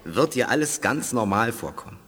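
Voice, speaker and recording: Assured, animated mutant lookout in reverb. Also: male voice, medium pitch, normal speed, slightly rough. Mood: confident. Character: assured male mutant lookout, eager and reassuring. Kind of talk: 1980s German radio drama acting. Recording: long hall reverb.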